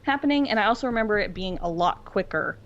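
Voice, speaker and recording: A woman's voice: mid-range voice, animated, fast, highs cut off, slight background noise. A woman guesting on a podcast, chatting casually and jokily like an enthusiastic fan. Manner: informal, jokey, enthusiastic fan talk. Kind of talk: casual pop-culture chat.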